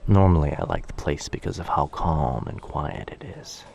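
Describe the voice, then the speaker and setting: Male actor as shy young coffee shop crush: low, creaky, soft and apologetic, intimate ASMR delivery. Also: very animated, heavily acted. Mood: shy, warming up. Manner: hesitant, apologetic, halting, often dropping to a breathy near-whisper. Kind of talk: boyfriend ASMR roleplay, soft intimate acting.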